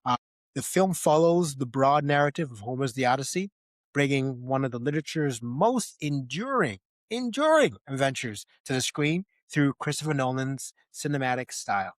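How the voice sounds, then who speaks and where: A man's voice: mid-range voice, lively intonation, normal speed, no room sound. A man co-hosts a casual movie podcast in a mid-range voice. He leans on key phrases, repeating them for emphasis. The sound is dry, with very little room in it. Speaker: podcast host. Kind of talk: casual film discussion podcast.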